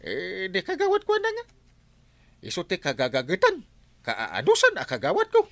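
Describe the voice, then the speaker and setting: An actor playing an excitable village captain in an Ilocano-accented radio drama, scolding and reproachful in manner. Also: male voice, high-pitched, normal speed, gravelly, no room sound, heavily acted. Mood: animated. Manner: animated, reproachful, swooping delivery. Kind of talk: Philippine radio drama acting.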